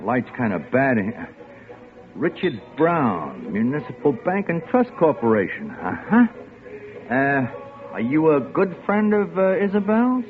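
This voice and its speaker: An actor playing a wary, sly bartender in an old-time radio drama, casual and noncommittal. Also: male voice, fairly high pitch, huge pitch swings, unhurried. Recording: muffled vintage radio recording with music.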